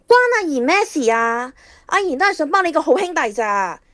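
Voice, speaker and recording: Woman playing a very high-pitched feisty schoolgirl, Hong Kong Cantonese. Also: very animated, normal speed, no room sound, studio quality. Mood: scolding. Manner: forthright, challenging. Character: feisty, blunt, scolding teenage schoolgirl. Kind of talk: audio drama acting.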